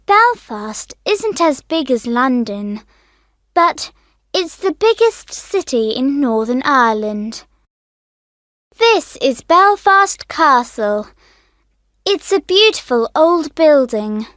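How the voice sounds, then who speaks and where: Very high, animated schoolchild, voiced by a woman. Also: slow pace, dry recording, clean studio sound, exaggerated voice. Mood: cheerful, informative. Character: friendly nine-year-old schoolchild introducing themselves. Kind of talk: scripted language course recording.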